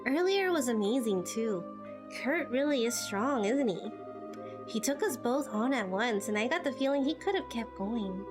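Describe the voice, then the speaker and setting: Game heroine: spirited teen girl, played by an amateur actress. Slightly high voice, earnest, casual, determined; music behind. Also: animated, normal speed, natural voice. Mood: thoughtful. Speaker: amateur voice actor playing through a game. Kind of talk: amateur game dialogue voice acting.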